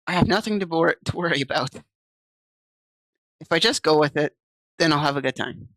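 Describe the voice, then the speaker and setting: A woman's voice: sits low, animated, moderate pace, a bit bright, dry recording. A woman streaming, reading visual novel text aloud and chatting casually with viewers on a desk mic. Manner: chatty, reads game text aloud and answers chat.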